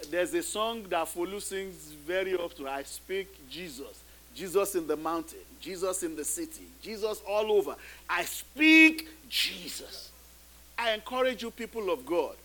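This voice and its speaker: High bright-voiced preaching man. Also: a little hiss or hum, poor audio. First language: not English. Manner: preaching with rising emphatic repetitions. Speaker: pastor. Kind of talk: sermon.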